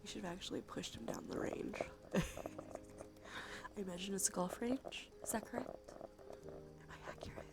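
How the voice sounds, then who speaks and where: A woman with a stuffy nose chatting softly and sleepily on an ASMR livestream, casual and playful, in whispers and quiet talk. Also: medium pitch, moderate pace, pauses often. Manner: soft, breathy whispering mixed with quiet talk; playful, casual, swears freely. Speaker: live streamer.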